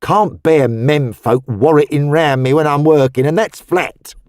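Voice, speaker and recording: A man's voice: a little high, a little slow, darkish tone, no room sound, clean studio sound, close to an everyday voice. A man voicing a cheerful, wizened little old Cockney charwoman who talks in a chatty, homely, motherly way.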